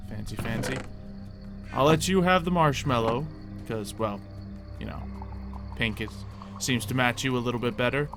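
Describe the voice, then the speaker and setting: A man talks through a video game in a casual, mild voice at an average pitch. He thinks out loud haltingly, with game music clearly playing behind him. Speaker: gamer playing a Minecraft roleplay series. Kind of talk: casual gameplay commentary.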